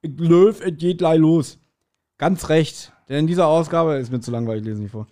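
A man's voice: on the high side, moderate pace, mellow. A man co-hosting a podcast in casual chat, with dry, self-deprecating banter. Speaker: podcast co-host.

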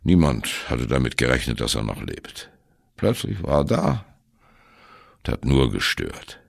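An older free-jazz drummer in a radio play, reminiscing with bitter irony. His voice is extremely low and husky, and it swings expressively as he tells his story. The sound is dry and close. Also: wildly swooping pitch, measured pace, no room sound, heavily acted. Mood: bitter, ironic. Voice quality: gravelly, husky. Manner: sardonic, conversational storytelling. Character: wry, embittered old German free-jazz drummer reminiscing. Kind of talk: dramatic first-person radio play monologue.

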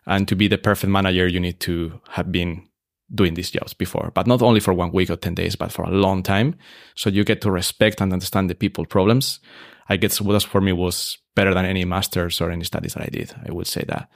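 A deep-voiced man talks through his career at an easy pace. His voice is low and gravelly, full of "uh" and restarts. The sound is dry and close. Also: brisk, hardly any pauses, dry recording. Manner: relaxed, many fillers and restarts. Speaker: hotel operations expert, guest. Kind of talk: casual interview conversation.

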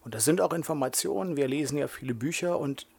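A man's voice: fairly high pitch, fast, creaky, darkish tone, slightly rough. A middle-aged man from northern Germany co-hosting a podcast, chatty and enthusiastic as he thinks aloud. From Lower Saxony, Germany. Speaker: podcast co-host. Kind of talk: casual podcast chat.

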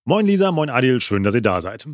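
Fast-talking male podcast host. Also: mid-range voice, big pitch swings, dry recording, clean studio sound, soft background music. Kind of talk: podcast interview with scripted intro and ad read.

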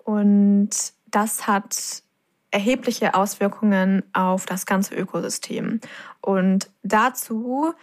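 A woman's voice: medium pitch, steady tone, slow pace. A woman co-hosting a podcast, presenting facts in a conversational style. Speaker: podcast co-host.

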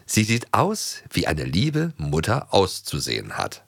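A man's voice: low-pitched, wildly swooping pitch, measured pace, gravelly, fairly bright tone, husky, no room sound, studio quality. A man from East Germany co-hosting a podcast in casual, playful chat. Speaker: podcast co-host.

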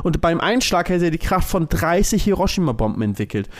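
A man's voice: a little high, big pitch swings, fairly quick. A man co-hosting a podcast, explaining things in an informal, matter-of-fact way. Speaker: podcast co-host. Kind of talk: casual explanatory chat.

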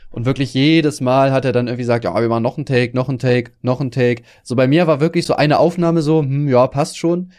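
A man's voice: mid-range voice, steady tone, a little mellow. A man co-hosting a podcast in casual chat, slangy and laughing as he tells stories. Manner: quick, slangy, laughs while telling stories. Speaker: podcast co-host.

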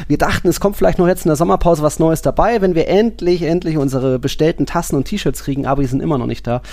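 A man's voice: on the high side, fast talker, a little mellow, no echo, studio quality. A man co-hosting a football podcast, chatting casually in a loose, colloquial way. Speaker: podcast co-host.

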